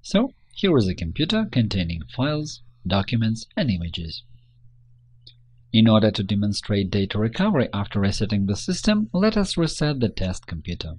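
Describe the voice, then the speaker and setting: A man reading a scripted instructional narration in a measured, step-by-step manner. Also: lowish voice, big pitch swings, a little mellow, husky, no room sound. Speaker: tutorial narrator.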